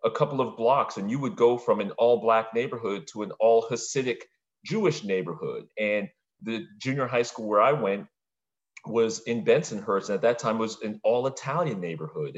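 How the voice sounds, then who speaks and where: A man's voice: lowish voice, muffled recording. A middle-aged man from Brooklyn, a podcast interview guest, talking reflectively in long, winding sentences. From Brooklyn, New York.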